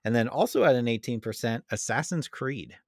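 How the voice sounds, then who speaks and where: A man's voice: medium pitch, expressive, normal speed, no room sound, clean studio sound. A man hosting a casual podcast debate in an easygoing, agreeable, chatty way. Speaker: podcast host. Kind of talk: casual chat, moderating a debate.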